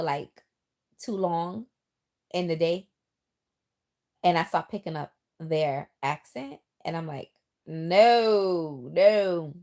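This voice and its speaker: A middle-aged woman from the Bronx, now living in the South, chatting casually with viewers on a livestream and reading their comments aloud. Her New York accent has picked up some Southern twang. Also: fairly low pitch. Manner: chatty, lively, talks straight to viewers. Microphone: phone.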